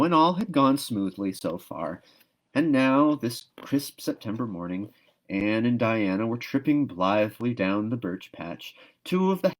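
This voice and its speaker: A man reading a novel aloud as expressive storybook narration. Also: fairly low pitch.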